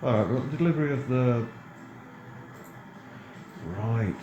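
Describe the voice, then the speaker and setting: A man's voice: medium pitch, slow, highs cut off, noisy background. A middle-aged man giving casual, rambling gameplay commentary, full of ums and self-corrections. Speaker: game video creator.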